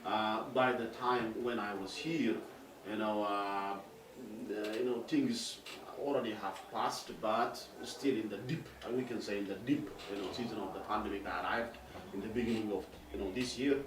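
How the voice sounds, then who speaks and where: A man in his forties from Ethiopia, an airline regional director answering interview questions haltingly with many fillers and restarts. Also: on the low side, steady tone. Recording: distant roomy mic with background noise.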